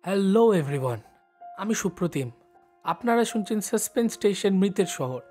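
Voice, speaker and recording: A man's voice: high voice, lively intonation, moderate pace, darkish tone. A man reads a scripted introduction as presenter of a story show. Music plays underneath his voice.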